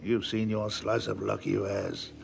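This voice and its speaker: An actor playing a wily, domineering middle-aged pirate captain with a gravelly voice, theatrical and full of rustic sailor talk, in an audio drama. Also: male voice, fairly low pitch, flat delivery, a little slow, a little hiss or hum. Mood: mocking.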